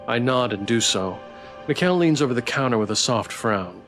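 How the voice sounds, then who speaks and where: A man's voice: mid-range voice, expressive, moderate pace, fairly bright tone. A man streaming a game live, reading its story text and chat aloud with music behind him.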